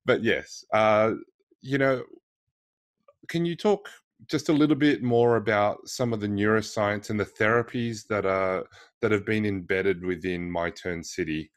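Man, middle-aged, host, deep voice, hesitant with fillers, dry close sound. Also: unhurried, no echo. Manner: hesitant, with many fillers and restarts. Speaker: podcast host. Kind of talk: interview over video call. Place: indoor room. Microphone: video call.

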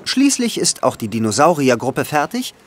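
A man's voice: a little high, big pitch swings, moderate pace, slight background noise. A man narrating a scripted children's audio play. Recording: music under the voice. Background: music.

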